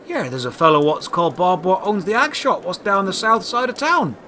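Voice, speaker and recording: A man's voice: sits high, expressive, brisk, pushed and bright, a little hiss or hum, voice pushed up. A man voicing a plain-spoken, folksy village bartender in a fantasy audio drama. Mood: helpful. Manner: folksy, colloquial.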